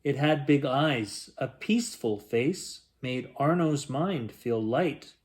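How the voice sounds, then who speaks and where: A man reading a rhyming picture book aloud as a bedtime story, in a gentle, expressive storytelling manner. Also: medium pitch, slow pace. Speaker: children's bedtime story reader.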